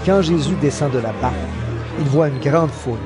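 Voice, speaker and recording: A man giving scripted narration for an audio Bible drama in a measured storytelling manner. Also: fairly high pitch, crowd noise. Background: background music.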